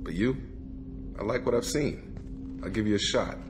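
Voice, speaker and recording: A man's voice: lowish voice, measured pace, background noise, natural voice. An actor playing a blunt, guarded male soldier in a video game, plain-spoken and loyal. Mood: guarded, frank.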